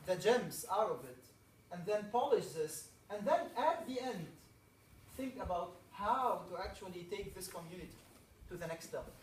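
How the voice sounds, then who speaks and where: A man from Egypt opens a camp with an earnest, rallying talk, stacking up emphatic lists. His voice sits fairly high. He is heard from some distance in an echoey hall with faint background noise. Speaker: camp speaker. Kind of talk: opening talk to a camp audience.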